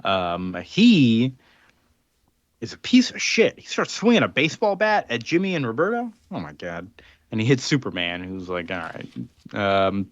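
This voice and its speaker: A man's voice: mid-range voice, sing-song, normal speed, no echo, lo-fi sound. A man casually recapping a comic book as a podcast co-host, rambling with ums and restarts and acting out quotes.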